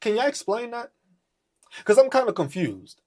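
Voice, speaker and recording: A man's voice: very high voice, very animated, moderate pace, warm tone. A man hosting a podcast, ranting in a profane, mocking and confrontational way. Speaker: podcast host. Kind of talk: live political rant.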